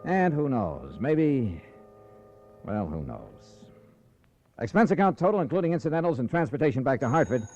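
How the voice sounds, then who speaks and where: A man's voice: medium pitch, very animated, normal speed, darkish tone, natural voice. An American man playing a tough, wry insurance investigator in 1950s radio drama, with clipped, slangy delivery. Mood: narrating. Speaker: lead actor and narrator. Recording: dull vintage radio recording with music.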